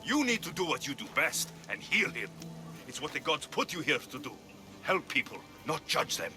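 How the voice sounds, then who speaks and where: A young mercenary hero in a game cutscene. He speaks in a firm, direct way. Clear music plays behind him. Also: on the high side, very animated, moderate pace. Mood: stern, urging. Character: Greek mercenary hero, blunt, principled young man. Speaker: video game protagonist. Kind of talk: dramatic game cutscene dialogue.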